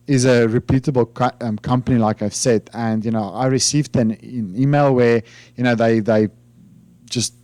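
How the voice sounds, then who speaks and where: A man's voice: mid-range voice, warm tone, no echo. A man from South Africa with a South African accent, Afrikaans his first language, chatting informally to his channel about finance with lots of fillers and restarts. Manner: rambling, hesitant, many fillers and restarts. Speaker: finance YouTuber. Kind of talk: informal talk to camera.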